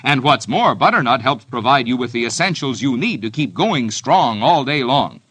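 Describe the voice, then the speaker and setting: A man's voice: expressive, normal speed, fairly bright tone, husky, no room sound. A man with an American accent reading a scripted, folksy vintage radio advertisement aimed at children, as a peppy announcer. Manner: peppy, folksy sales pitch. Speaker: commercial announcer.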